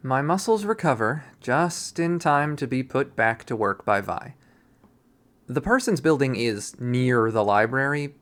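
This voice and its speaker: A man reading a visual novel aloud in expressive first-person narration. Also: mid-range voice. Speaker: visual novel readthrough player.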